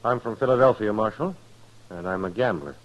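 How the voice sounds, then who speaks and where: Casting: male actor for dignified frontier gambler, lowish voice, precise gentlemanly diction, proud, vintage radio western. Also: brisk, lo-fi sound, close to an everyday voice. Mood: smooth, formal. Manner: formal, precise, touchy about honor. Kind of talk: 1950s radio western acting.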